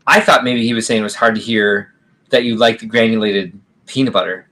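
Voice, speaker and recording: A man's voice: fairly low pitch, brisk, sharp tone. Chatty man reading out viewer comments. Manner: rambling, reads viewer comments aloud, laughs often. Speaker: podcast co-host. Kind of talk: casual livestream chat.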